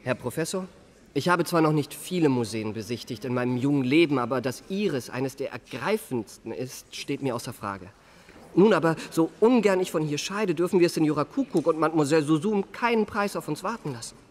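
Florid young gentleman charmer. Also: on the high side, expressive, a little fast. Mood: ardent, courteous. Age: young adult. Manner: florid, courteous, elaborately polite. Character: eloquent, flattering, ardent young gentleman charmer. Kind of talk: radio play acting.

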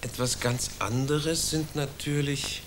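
A sly, scheming investigator, played by a man in a radio play. He talks smoothly and ironically at a middle pitch, leading his listener on, over a faint hiss. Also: fairly even intonation, takes it slowly, natural voice. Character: sly, scheming male special-commission investigator.

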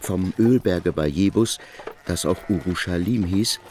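A man narrating a literary work for radio in a measured, formal storytelling manner. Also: low-pitched, mellow, a little hiss or hum. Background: faint music.

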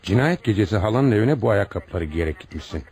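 A man's voice: on the low side, lively intonation, quick pace, darkish tone, husky. A man acting a stern, insistent homicide police commissioner in a radio drama, with a commanding, pressing manner. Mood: stern, accusing.

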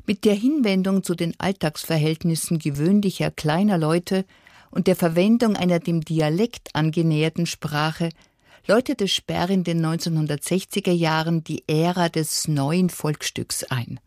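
A woman announcer reading a scripted introduction on the radio in a measured, formal style. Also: on the low side, darkish tone, no room sound, studio quality.

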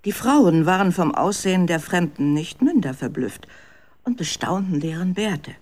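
A narrator telling a children's radio story in a measured, expressive scripted style. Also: medium pitch.

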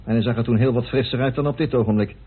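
A man's voice: mid-range voice, steady tone, very fast, slightly rough. An actor in a radio play voicing a stubborn, wry man guarding a secret, emphatic and evasive. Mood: defensive, wry. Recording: muffled phone-like old radio recording.

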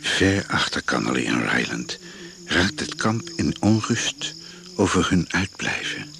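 A man narrating a radio adventure play in solemn, drawn-out, half-whispered phrases, as a grave storyteller. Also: a bass voice, very animated, creaky, heavily acted. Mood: solemn, suspenseful. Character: grave, slow storyteller of a jungle expedition adventure. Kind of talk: scripted radio drama narration. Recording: noisy old radio play recording.

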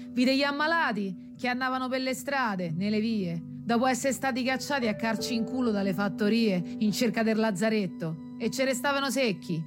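A woman's voice: medium pitch, lightly acted. A woman playing an earthy, wry townswoman telling of an epidemic in colloquial Roman-accented speech for a radio drama. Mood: grave. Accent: central Italian, Roman. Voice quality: colloquial, dialect-coloured delivery. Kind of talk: theatrical storytelling in radio drama. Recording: music under the voice.

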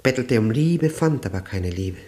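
A man playing an anguished, guilt-ridden middle-aged prisoner in a 1960s radio drama, speaking in a halting, solemn, haunted way. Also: mid-range voice, animated, warm tone, close to an everyday voice. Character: anguished, broken, guilt-ridden male long-term prisoner confessing.

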